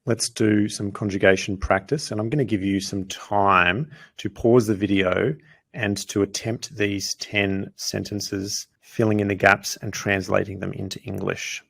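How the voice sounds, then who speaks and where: A man teaching grammar as a language lesson, patiently explaining example sentences and slowing down to say the foreign phrases clearly. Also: on the low side, level tone, fairly bright tone.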